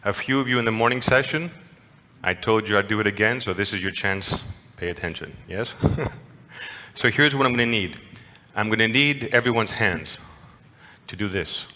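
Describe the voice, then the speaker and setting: A man's voice: fairly low pitch, moderate pace, bright, forceful tone. A man giving a playful, interactive lecture to an audience, often asking them questions. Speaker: conference lecturer. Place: large lecture hall. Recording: long hall reverb.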